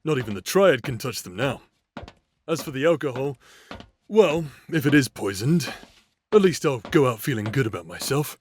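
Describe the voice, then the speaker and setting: An actor playing a cynical, deadpan, jaded demon hunter in a sci-fi audio drama. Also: male voice, medium pitch, moderate pace, a little hiss or hum, lightly acted. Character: jaded, paranoid, pill-popping male demon hunter. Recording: music bed underneath.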